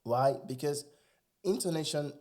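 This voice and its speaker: A man's voice: fairly high pitch, unhurried, a little mellow. A man with a Nigerian accent, whose first language is Igbo, talking earnestly and with animation as a guest in a casual podcast chat.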